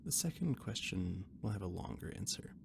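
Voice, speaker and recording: A man playing a shy, rambling alien catboy ship mechanic in an intimate roleplay audio drama, stammering and gently defensive as he talks. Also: low voice, very animated, brisk, gravelly, noisy background, exaggerated voice. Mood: playfully defensive.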